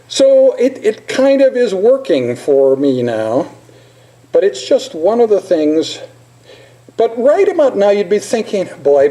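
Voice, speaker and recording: An older man giving an informal presentation as a lecturer, halting at times with repeated words and pauses. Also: high-pitched, mellow, poor audio. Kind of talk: informal slide presentation with poetry recitation.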